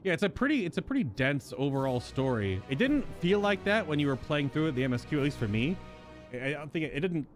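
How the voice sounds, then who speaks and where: A man's voice: a little high, big pitch swings, fast talker. A man streaming a video game, chatting and musing aloud as he gives live commentary on the story. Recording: music behind the voice. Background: video game music.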